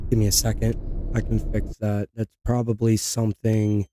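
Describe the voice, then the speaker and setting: Male game streamer, casual chat, lowish pitch, dark tone, worn-out voice nearly lost. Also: no room sound, studio quality. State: losing his voice.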